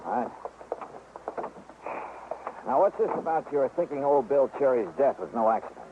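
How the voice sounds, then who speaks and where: Through a narrow, hissy old radio recording comes an American male investigator, acted by a man. He is brisk, conversational and inquisitive, with lively swings of pitch. It plays like 1950s radio detective drama. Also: natural voice. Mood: relaxed, curious. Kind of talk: 1950s radio drama acting, with narrated expense account.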